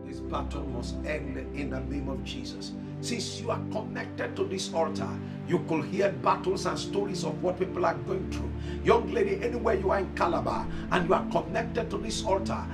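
A man's voice: high-pitched, lively intonation, moderate pace. A middle-aged man with a Nigerian accent preaching and issuing commanding, repeated decrees in a studio. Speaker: evangelist. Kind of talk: preaching and declaring prayers. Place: indoor studio set. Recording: distant mic over backing music.

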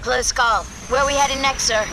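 A woman's voice: sits high, steady tone, brisk. A woman playing a bold, defiant soldier in acted video game military dialogue. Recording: loud game music and battle noise.

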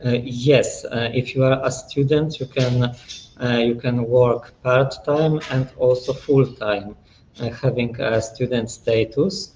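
A young man presenting a university in a webinar, speaking slowly with many fillers and restarts, from a small office over a webcam. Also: medium pitch, steady tone, darkish tone, a little hiss or hum. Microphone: webcam on video call. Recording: dull lo-fi webcam audio.

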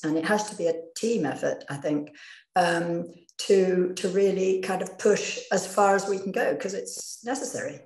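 A woman's voice: on the low side, echoey room, poor audio. A woman in her fifties on a panel, speaking over a video call from her living room in a rambling way with many "you know"s. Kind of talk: panel discussion.

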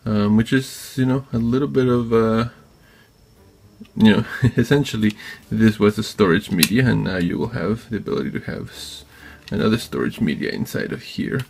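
A man hosting a craft tutorial, talking informally and haltingly as he thinks aloud while working. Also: on the low side, vocal fry, a little mellow, a little hiss or hum. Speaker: DIY maker and video host. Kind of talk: casual hands-on tutorial narration. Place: indoor craft workbench.

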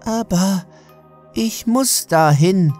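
Guy doing creepy old lady in horror story, higher voice, slow, entranced, insistent. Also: darkish tone, soft background music. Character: strange elderly female patient, fixated and entranced.

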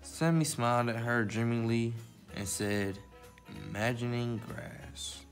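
A man's voice: medium pitch, fairly even intonation, slow, soft background music. A man hosting a library story time, presenting to children in the manner of a read-aloud and simple how-to.

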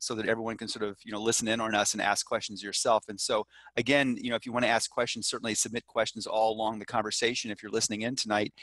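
A middle-aged man interviews his guest at a fast clip, talking with lots of filler words. He speaks into a headset mic, and the sound is close and dry with no room echo. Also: lowish voice, fairly bright tone. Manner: brisk, chatty, many fillers. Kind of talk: hosted interview. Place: room at home. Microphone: headset mic on a video call.

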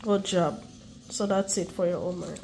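A woman's voice: fairly low pitch, steady tone, moderate pace, gravelly, darkish tone, a little hiss or hum. A young woman with the flu, stuffy-voiced, patiently coaxing her child through homework at home. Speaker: mother and vlogger. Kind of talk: casual home vlog, helping a child with homework.

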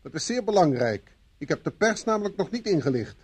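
A man's voice: high voice, sing-song, brisk, darkish tone, husky, exaggerated voice. A man acting in a radio play as a stern, sceptical police inspector, curt, sardonic and formal.

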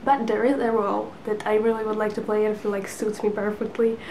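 A woman's voice: medium pitch, level tone, quick pace, mellow, a little hiss or hum, poor audio. A woman in her twenties whose first language is Polish, chatting to the camera from her couch with lots of fillers and little laughs. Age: 20s. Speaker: book vlogger. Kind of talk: casual chat to camera. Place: living room couch with lamp, indoors. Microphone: camera in front at sitting distance.

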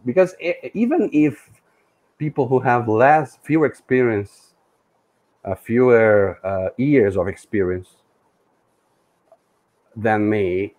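A middle-aged man from Brazil, a native Portuguese speaker, talking hesitantly on a video call with many pauses. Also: medium pitch, darkish tone, poor audio. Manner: hesitant, many fillers and restarts. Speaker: co-host, language learner. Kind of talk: casual video call chat. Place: room indoors.

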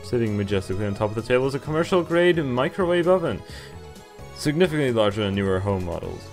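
A man's voice: medium pitch, lively intonation, fast, a little mellow. A young man hosting a gaming video, reading on-screen story text aloud and adding casual comments. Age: young adult. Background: game music.

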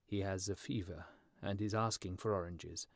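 Guy doing a sad statue prince for a fairy tale, low n musical, gentle, kinda pleading. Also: steady tone, gravelly, no echo, studio quality. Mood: pitying, pleading. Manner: slow, gentle, mournful, pleading. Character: sorrowful gentle statue of a dead young prince.

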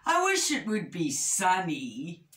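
Storybook big bear, performed by a woman: slow, drawn-out, forever dissatisfied moaner, roomy distant sound. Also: fairly low pitch, wildly swooping pitch, fairly bright tone, exaggerated voice. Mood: gloomy moaning. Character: moaning, never-satisfied big cave bear. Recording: roomy echo, distant microphone.

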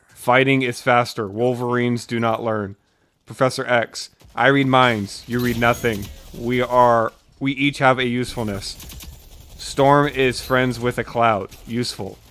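Male streamer, young, mid-pitched, doing live readings of absurd scripts over faint noise. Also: steady tone, measured pace, fairly bright tone. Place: dim room at home.

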